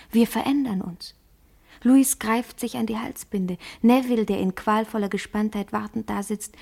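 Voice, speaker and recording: An actress playing a guarded, self-conscious woman in a literary radio drama, speaking deliberately and poetically. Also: a little high, darkish tone.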